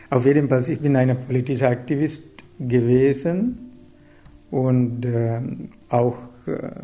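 A man's voice: mid-range voice, dark tone. A middle-aged man from Sri Lanka with a Sri Lankan accent, a writer and activist speaking hesitantly with many fillers in a radio interview. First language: Sinhala.

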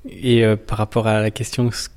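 A man's voice: lowish voice, level tone, normal speed, a little mellow. A man interviewing a guest, asking his questions hesitantly with frequent filler sounds.

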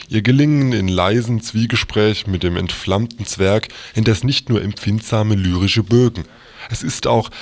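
A man reading aloud a written newspaper review as a quoted text. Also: fairly low pitch. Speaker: reader of quoted articles.

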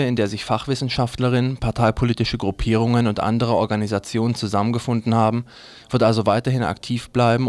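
A man's voice: mid-range voice, darkish tone, no echo. A man reading scripted news items as a radio news presenter. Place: dry radio studio.

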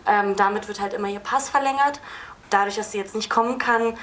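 A woman's voice: medium pitch, fairly quick, a bit bright. A woman film director giving spontaneous, explanatory answers at an audience Q&A, hesitating as she goes. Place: film festival screening venue. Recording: noisy live event recording.

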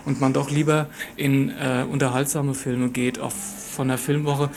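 A man's voice: medium pitch, flat delivery, unhurried, a little mellow, faint music behind. A man giving thoughtful, hesitant interview answers, searching for his words. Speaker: interviewed film festival visitor. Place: on-location interview after a screening. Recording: faint background noise and ambience.

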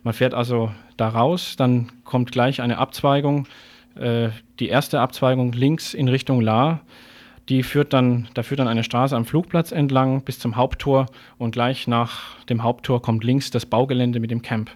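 A man's voice: medium pitch, steady tone, a little slow, darkish tone. A male radio host speaks in an informal, improvised manner. He sounds like he is presenting live, moving between interview questions and practical announcements.

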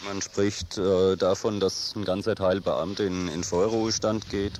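A man asking unscripted interview questions haltingly, repeating words, with some noise on the recording. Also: low-pitched, steady tone. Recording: faintly noisy interview recording.

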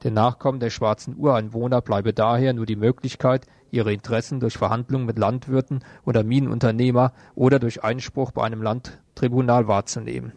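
A man's voice: mid-range voice, flat delivery, fairly quick, few pauses, darkish tone, no echo. Man reading radio news, dry sound. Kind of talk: read news bulletin with brief informal asides.

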